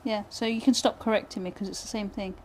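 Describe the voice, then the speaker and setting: A chatty woman from Britain, thinking aloud through a live wood-burning lesson with plenty of ums and restarts. Her voice sits at an ordinary middle pitch and is getting slightly hoarse. A faint background hiss runs under it. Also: fairly even intonation, quick pace, a little mellow. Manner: chatty, self-deprecating, thinks aloud with many fillers. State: voice going hoarse. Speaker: pyrography instructor. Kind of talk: live tutorial chat while working.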